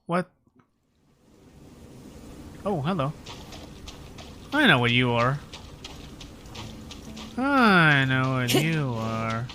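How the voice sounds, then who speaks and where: A man's voice: medium pitch, lively intonation, drawn-out words, pauses often, pushed and bright. A young man streaming a game, muttering wryly to himself as he puzzles through it. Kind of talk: casual gameplay commentary. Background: game music.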